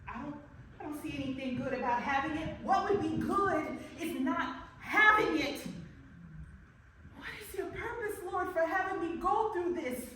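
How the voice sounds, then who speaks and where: A woman's voice: high voice, fairly even intonation, fast talker, close to an everyday voice. An actress playing a devout woman facing breast cancer, talking to herself in a questioning, praying way. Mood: anxious, prayerful. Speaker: woman awaiting a cancer consult. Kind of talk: inner-monologue acting. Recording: very echoey, distant, noisy.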